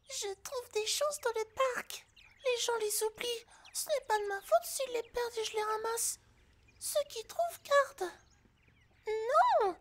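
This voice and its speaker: A woman voicing a naive, chirpy, easily indignant female harpy who hoards shiny trinkets in an ASMR roleplay. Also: very high-pitched. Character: scatterbrained female harpy hoarding shiny coins, childlike and defensive. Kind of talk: French ASMR roleplay acting.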